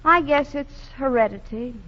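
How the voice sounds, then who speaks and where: A woman's voice: high-pitched, normal speed, bright, forceful tone, highs cut off. An actress playing a spirited young working woman who coos and teases, heard on an old 1940 radio broadcast. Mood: teasing.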